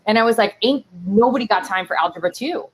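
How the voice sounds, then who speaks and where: A woman in her thirties from Miami whose first language is Spanish, telling her story in a casual interview with lots of fillers and animated asides. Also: on the high side, fast, husky. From Miami, Florida. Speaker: startup CEO and keynote guest. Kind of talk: conversational interview.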